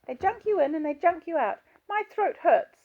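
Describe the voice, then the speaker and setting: A woman's voice: very high-pitched, very animated, normal speed, narrow, tinny audio, voice pushed up, heavily acted. A woman voicing a middle-aged hospital patient who talks in a whiny, childish, pleading way. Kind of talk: audiobook character voice.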